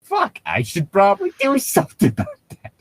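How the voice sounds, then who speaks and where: A man's voice: sits high, huge pitch swings, brisk, breathy edge, dry recording. A man doing a mocking dramatic reading with sarcastic, profane ad-lib commentary and a giggly manner. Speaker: co-reader riffing on a horror story.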